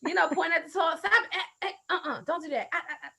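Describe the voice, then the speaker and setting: Middle-aged woman, American accent, folksy, expressive, conversational storytelling, podcast guest. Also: very high-pitched, quick pace, gravelly. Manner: folksy, expressive, acts out exchanges. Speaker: podcast guest, mother and coach. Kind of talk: animated conversational storytelling and advice.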